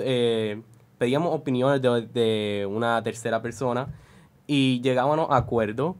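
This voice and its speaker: Young male guest, Puerto Rican accent, mid-range, casual and slightly halting as he explains his work. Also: medium pitch. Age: young adult. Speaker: student audio editor, guest. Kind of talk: conversational interview answers.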